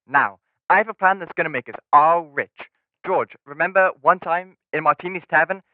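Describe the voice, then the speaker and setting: A teenage student actor playing a brash, pushy businessman making a pitch over the telephone in a radio drama. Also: normal speed, no room sound. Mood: eager, pushy. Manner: pushy, emphatic. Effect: telephone filter. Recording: tinny dry phone-line sound.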